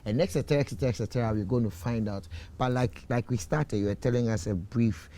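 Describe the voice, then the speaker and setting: A man's voice: medium pitch, fairly even intonation, fast, dark tone, slight background noise. A Ghanaian man hosting a late-night TV talk show, playful and teasing as he chats with his guest. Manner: playful, teasing, joking, reads out ad copy.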